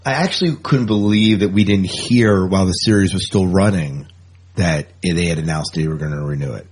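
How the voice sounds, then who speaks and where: Low, slightly husky male podcast host. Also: a little fast, darkish tone. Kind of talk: casual sci-fi news chat.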